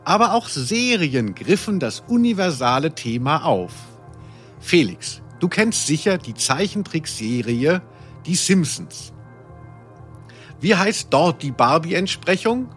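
A man's voice: fairly high pitch, very animated, slow, a bit bright, soft background music. A man co-hosting a podcast, playing quizmaster in casual, teasing banter with a mock game-show delivery.

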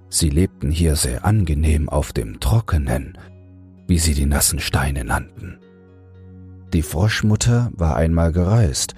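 Male audiobook narrator for bedtime fairy tales: very low voice, calm, measured, soft music behind. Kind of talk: scripted fairy-tale narration for falling asleep.

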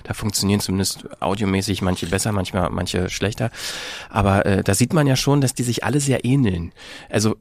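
A man's voice: on the low side, fairly even intonation, fairly quick, no room sound. A man in a casual podcast discussion, speaking as a panelist in a hesitant, hedging way.

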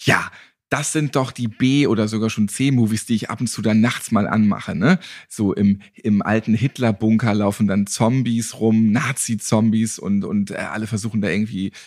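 A man's voice: lowish voice, steady tone, normal speed, a little mellow, no room sound, clean studio sound. A man hosting a podcast in a casual, joking and colloquial way. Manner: flippant, colloquial. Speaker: podcast host.

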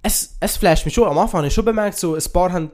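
A man's voice: sits high, fast talker, darkish tone. A young man with a Swiss accent chatting casually as a podcast co-host, using youthful slang mixed with English expressions. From Switzerland. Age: young adult. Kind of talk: casual chat.

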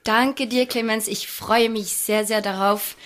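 A woman's voice: on the high side, steady tone, a little slow. French woman speaking German with fillers. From Champagne, France. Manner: warm, hesitant, many fillers. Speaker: guest, champagne brand ambassador. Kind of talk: podcast interview.

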